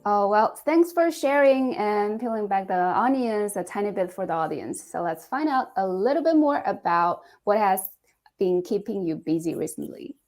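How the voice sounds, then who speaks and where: A woman hosting a casual podcast interview. She asks quick icebreaker questions and laughs often. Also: medium pitch, lively intonation, darkish tone, faint music behind. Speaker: podcast host.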